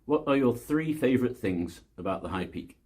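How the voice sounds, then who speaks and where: Husky-voiced male interviewer. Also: medium pitch, lively intonation, moderate pace, a little mellow. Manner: brief, plain questions. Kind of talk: reading interview questions.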